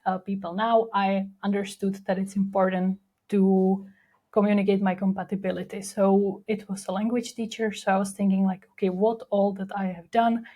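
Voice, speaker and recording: A young woman with a Czech accent talks through her own story as a podcast host. Her pitch sits mid-range and stays level, with little rise or fall. She sounds candid and reflective, leaning on fillers as she goes. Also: flat delivery, normal speed, mellow. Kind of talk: personal storytelling monologue.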